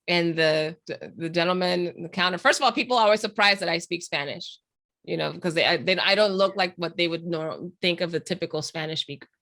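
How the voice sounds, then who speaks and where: A woman's voice: on the low side, a little fast. A woman from Panama hosting a casual video chat, telling stories with lots of laughter. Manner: animated storyteller, laughs often. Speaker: podcast host.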